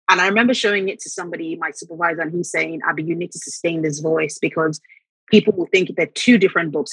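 A middle-aged woman from Nigeria whose first language is Yoruba, telling reflective stories about her writing and repeating words when she gets excited. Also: on the low side, breathy edge, no room sound, low-quality recording. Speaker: author guest. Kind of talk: conversational interview.

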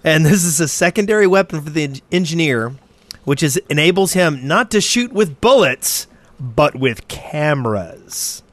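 A man's voice: on the high side, expressive, moderate pace, a bit bright, dry recording. A man co-hosting a gaming podcast, talking casually about game ideas. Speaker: podcast co-host.

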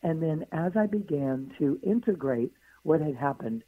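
A hoarse woman, a guest author on a radio interview, who answers in long flowing runs of stacked phrases. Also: low-pitched, lively intonation, normal speed, no room sound. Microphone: phone call. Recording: tinny phone line.

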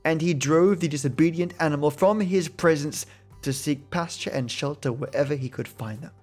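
A man's voice: a little high, normal speed, a little mellow, faint music behind. A man steadily reading folk tales aloud as a podcast host. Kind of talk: storytelling narration.

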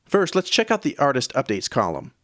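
A man reads scripted news as the host of an audio newsletter. His voice sits at a medium pitch, and music plays clearly underneath him. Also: huge pitch swings, brisk. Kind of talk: scripted narration. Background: background music.

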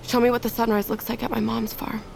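An actress playing a guarded, weary but defiant survivor in a naturalistic audio drama, with terse, blunt delivery. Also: female voice, on the high side, flat delivery, fast talker, vocal fry, darkish tone, a little hiss or hum. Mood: guarded, insistent.

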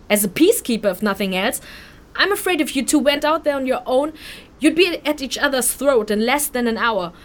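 Sassy, high-voiced, excitable young village girl. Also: moderate pace. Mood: pleading, exasperated. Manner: informal, exclamatory, protesting. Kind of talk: audio drama acting.

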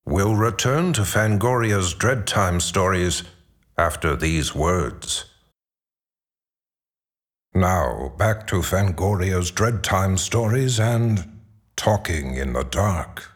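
Casting: male series announcer, low-pitched, deliberate, ominous radio drama continuity. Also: lively intonation.

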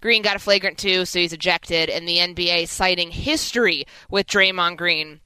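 Woman doing sports talk radio, bright crisp voice, assertive, dry studio sound. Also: lowish voice, moderate pace, dry recording, rough recording.

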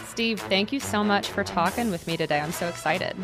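A woman's voice: medium pitch, a little fast, loud music behind the voice. Bubbly, enthusiastic woman podcast host. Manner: enthusiastic and bubbly, gushes with praise. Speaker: podcast host, sports beat reporter. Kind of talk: podcast hosting and interviewing.

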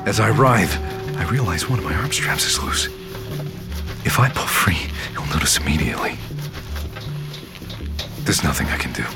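A frightened, defiant captive narrating an audio thriller in a deep, expressive voice. His inner monologue is clipped and intense, with music underneath. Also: moderate pace, creaky, long pauses, heavily acted. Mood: terrified, defiant. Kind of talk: dramatic first-person narration.

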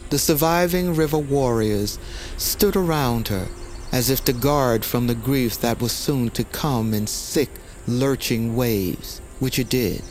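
A man giving a grave, measured, literary narration of a dramatic story. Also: medium pitch, a little mellow. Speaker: narrator of an audio fiction story. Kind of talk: solemn scripted story narration. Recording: music bed behind narration.

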